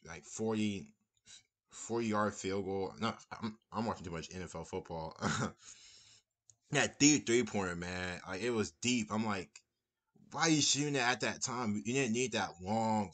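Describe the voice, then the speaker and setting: A young man with a low, deep voice gives a relaxed post-game reaction. He rambles and circles back to the same phrases. He is recorded on a webcam in a small room. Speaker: basketball fan commentator.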